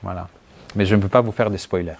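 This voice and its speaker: A man's voice: low-pitched, fairly even intonation, darkish tone, slight background noise. A man from Greece with a Greek accent, a writer answering questions conversationally and searching for words as he goes. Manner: halting, searching for words, thoughtful. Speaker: writer being interviewed. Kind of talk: conversational answers at a book talk.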